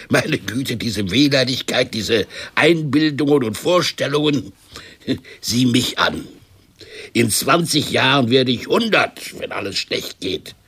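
Husky, cantankerous old uncle. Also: male voice, mid-range voice, expressive, slow, a bit bright, exaggerated voice. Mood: grumbling, self-pitying. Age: older. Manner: grumbling, sarcastic questioning. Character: cantankerous ailing uncle of eighty, scornful and impatient. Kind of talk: radio drama acting.

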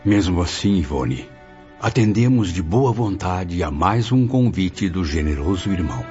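A man's voice: low-pitched, lively intonation, a little mellow. An actor playing a sorrowful male spirit apprentice who recounts his memories in a Brazilian radio drama, solemn and literary, with a Brazilian accent. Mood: solemn, reflective. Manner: solemn, measured literary diction. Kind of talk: Brazilian radio drama first-person narration. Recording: muffled audio under prominent music.

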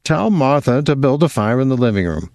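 A curt, impatient young businessman, as a man reads him, clipped and dry. The pitch still moves in lively turns. The sound is dead and close. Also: a little fast, no echo.

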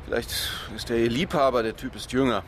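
An actor playing a blunt police detective who keeps probing with questions, in a radio crime drama. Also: male voice, mid-range voice, steady tone, fairly quick, slight background noise, lightly acted. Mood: probing, skeptical.

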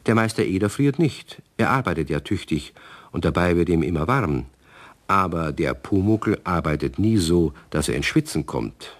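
A man's voice: sits low, lively intonation, normal speed. A man narrating a children's radio play, speaking directly to the young listeners.

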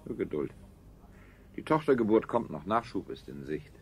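A man's voice: fairly low pitch, very animated, normal speed, slight background noise. An actor playing a calculating, authoritarian scientist king in a radio play, officious and self-assured. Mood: confident. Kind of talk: 1970s German radio play acting.

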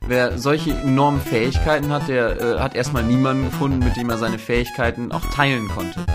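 A man gives a conversational explanation as an interviewed expert. His pitch is average for a man. Music is clearly audible behind his voice. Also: fairly even intonation, fairly quick.

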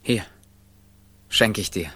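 A man's voice: takes it slowly, pushed and bright, dry recording. An actor playing a laconic, gloomy man in radio play dialogue, giving terse, clipped replies.

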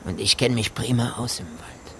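An actor playing a gruff, evasive rural gamekeeper in a German radio drama, speaking in clipped, offhand colloquial phrases. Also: male voice, medium pitch, moderate pace, slight background noise, natural voice. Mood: blunt, callous.

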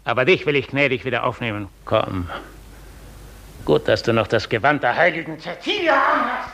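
An actor playing an imperious, suspicious count in a 1950s German radio play, interrogating in clipped phrases. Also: male voice, mid-range voice, sing-song, normal speed, gravelly, sharp tone, exaggerated voice. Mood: coldly gracious. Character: imperious, suspicious Russian count, cruelly gracious master.

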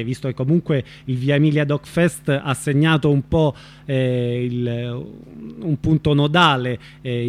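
A man hosting a live radio interview, informal and loosely phrased, with hesitations as he makes announcements and puts questions. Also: mid-range voice. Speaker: radio show host.

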